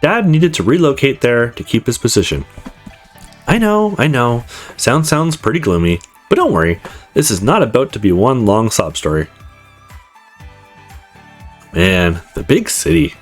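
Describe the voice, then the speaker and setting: A man's voice: medium pitch, very animated, unhurried, pauses often. A man voicing a confident, upbeat male student who narrates his life in a visual novel, in a breezy, conversational way. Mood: upbeat, reflective. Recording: music playing behind the voice.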